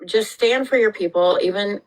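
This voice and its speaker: A woman's voice: mid-range voice, moderate pace, low-quality recording. A woman in a casual live-stream chat who talks earnestly and giggles between her lines.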